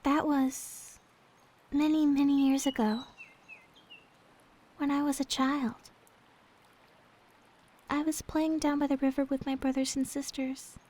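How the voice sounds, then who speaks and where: A woman playing a gentle, devoted young maid in an ASMR roleplay, speaking in a soft, sweet, tender way. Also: high-pitched, level tone, takes it slowly, pauses often. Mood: hesitant, wistful. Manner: soft, sweet, tender, hesitant when confiding.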